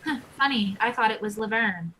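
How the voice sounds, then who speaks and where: Woman as bright-voiced, protective Depression spirit. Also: fairly high pitch, fairly quick, low-quality recording. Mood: guarded. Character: female Depression personified, protective, coaxing, watchful caretaker. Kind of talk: live play reading.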